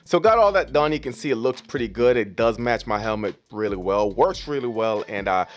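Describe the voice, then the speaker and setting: A man's voice: medium pitch, expressive, a little fast, soft background music. A man giving a casual, informal voice-over as a vlogger, walking step by step through a product unboxing and setup.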